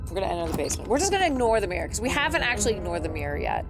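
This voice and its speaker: A woman's voice: mid-range voice, sing-song, rapid-fire. Chatty woman streamer, faint music behind. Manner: chatty, thinking aloud while playing. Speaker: game streamer. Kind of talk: casual live playthrough commentary. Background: soft game music.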